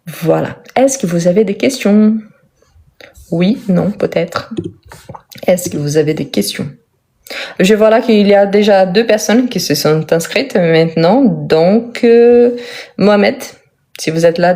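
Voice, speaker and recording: A Brazilian-born woman whose first language is Portuguese, teaching French in a live online lesson, speaking slowly and simply and often repeating words for emphasis. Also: on the low side, dark tone. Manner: slow, careful, simple speech for learners.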